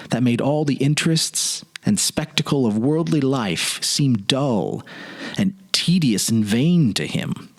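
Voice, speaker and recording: Male narrator, dramatic literary reading, highly varied intonation, deliberate phrasing, expressive pauses. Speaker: presenter and narrator.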